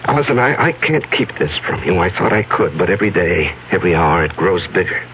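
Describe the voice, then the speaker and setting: Male, very low, halting and earnest: tormented man on the run, vintage radio drama on a narrow band. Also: very animated, a little hiss or hum. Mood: anguished, earnest. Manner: halting, earnest, heavy pauses. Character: anguished fugitive man confessing a hidden past to his fiancée. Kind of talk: 1970s radio drama acting.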